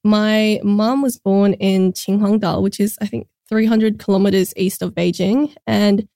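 A woman's voice: mid-range voice, steady tone, measured pace, gravelly, dark tone, no echo, studio quality. A woman in her twenties with an Australian accent, a guest talking through her background in a casual, friendly way, with many "like"s and "kind of"s. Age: 20s. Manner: friendly, chatty, frequent "like" and "kind of". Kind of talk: casual interview chat.

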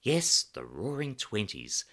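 A man's voice: fairly low pitch, wildly swooping pitch, slow pace, sharp tone, voice pushed up, full character voice. A male narrator voicing a theatrical hostess who announces things grandly. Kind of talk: audiobook character voice.

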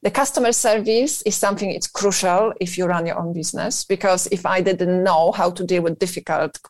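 A woman's voice: fairly low pitch, fairly even intonation, a little fast, no echo. A woman with a Polish accent, a business founder talking at length as a radio interview guest and leaning often on "you know". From Poland. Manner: talkative, thinks aloud with many "you know" fillers.

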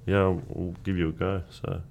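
A young Australian man whose first language is Croatian, telling stories in a relaxed, rambling way as a guest in a casual chat. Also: low voice, moderate pace, vocal fry. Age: young adult. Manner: relaxed, rambling storytelling with restarts. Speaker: guest athlete.

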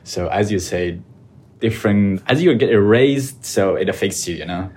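A man's voice: fairly low pitch, normal speed, darkish tone, breathy edge. A man interviewing a podcast guest in a friendly, informal way, with many "like" fillers. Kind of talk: casual interview conversation.

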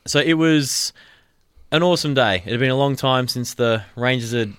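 Smooth-voiced Australian man hosting a podcast. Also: mid-range voice, normal speed, dry recording. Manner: relaxed, rambling with fillers. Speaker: podcast host. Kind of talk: casual sports podcast chat. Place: radio studio. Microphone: studio mic.

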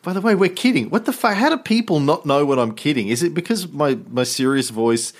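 A man's voice: on the high side, fairly quick. Guy hosting a podcast, jokey, swears a lot, voice bouncing all over. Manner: joking, swears freely, animated. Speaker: podcast host. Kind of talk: casual chat.

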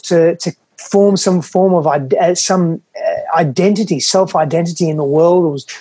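A man's voice: on the high side, a little mellow, slightly rough, no room sound. A man from Western Australia, whose was Greek, speaking earnestly and reflectively as a podcast guest, often restarting his phrases. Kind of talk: reflective interview talk.

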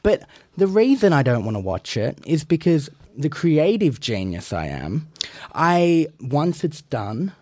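Cocky wrestler guest, brash trash talk, dry booth. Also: male voice, fairly high pitch, big pitch swings, measured pace, darkish tone, dry recording. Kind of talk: boastful in-character banter.

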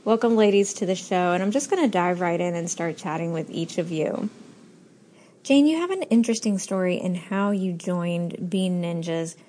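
A woman's voice: lowish voice, moderate pace, dark tone, a little hiss or hum. A woman hosting a podcast, chatting in an upbeat, enthusiastic way with frequent fillers. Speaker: podcast host. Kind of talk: casual podcast chat.

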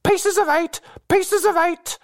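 A man voicing a pirate's parrot, squawking rapidly and repeating itself in a shrill screech. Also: steady tone, full character voice. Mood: excited squawking.

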